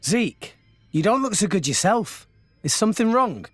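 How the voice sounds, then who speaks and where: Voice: mid-range voice, very animated, normal speed, breathy edge, no room sound, soft background music. An actor playing an earnest, plucky teenage boy hero in a fantasy video game. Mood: earnest, concerned.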